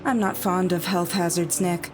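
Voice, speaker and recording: A woman's voice: lowish voice, fairly even intonation, normal speed, over music, close to an everyday voice, low-quality recording. A woman playing a guarded, businesslike scientist in a visual novel, with a dry, no-nonsense manner. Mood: dry, unamused. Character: guarded, businesslike female scientist handling a dangerous entity. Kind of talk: scripted visual novel voice acting.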